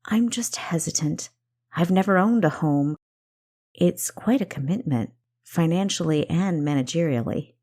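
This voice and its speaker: Woman acting a matter-of-fact elder sister, low-voiced. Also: a little slow, mellow. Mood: hesitant, firm. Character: reserved, practical elder sister, female city guard.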